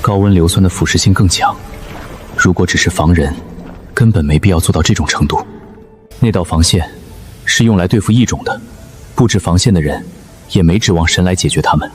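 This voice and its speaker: Cool, analytical young male survivor played by a male actor, very low voice, curt delivery for an animated drama, music behind. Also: a little fast, long pauses, lightly acted. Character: cool, calculating young male survivor, coldly observant leader. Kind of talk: animated web drama acting.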